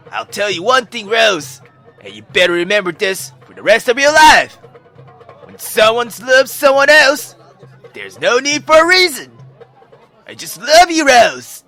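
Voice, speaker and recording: A man's voice: very high-pitched, very animated, unhurried, bright, forceful tone, soft background music, a faint crowd, pitched above the performer's own voice, heavily acted. A man voicing a brash, cheerful young suitor who pleads with emphatic exclamations, in a visual novel read-aloud. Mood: earnest, insistent.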